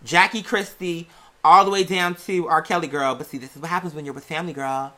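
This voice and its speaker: A podcast host chatting casually and sassily to listeners, with theatrical, drawn-out emphasis on key words. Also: medium pitch, fairly even intonation, bright, forceful tone. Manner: sassy, chatty, addresses listeners as "girl" and "y'all". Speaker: show host and music artist. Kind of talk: casual conversational monologue to listeners.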